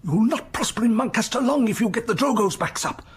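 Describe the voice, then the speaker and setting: A man's voice: very high voice, lively intonation, brisk, breathy edge, exaggerated voice. An actor playing a pompous, domineering senior English churchman in a radio drama, blustering and patronising. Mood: blustering, dismissive.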